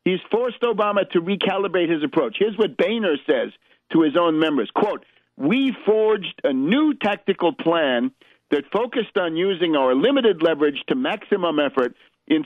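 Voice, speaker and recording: A man hosting a political radio show, giving opinionated commentary in a halting, emphatic manner with sardonic asides. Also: on the high side, no room sound. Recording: dull, lo-fi radio recording.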